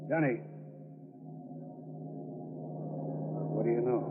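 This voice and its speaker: A man's voice: mid-range voice, rapid-fire, close to an everyday voice. A man playing a cool, ruthless safecracker boss, terse and commanding, in old-time radio crime drama style. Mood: cold, hurried. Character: cool, ruthless male safecracker gang leader, adult. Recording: muffled, tinny vintage radio recording.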